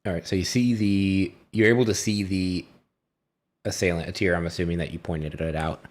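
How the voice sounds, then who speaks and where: A man acting as game master, narrating and explaining a tabletop game in a halting, conversational way. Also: low-pitched, fairly even intonation.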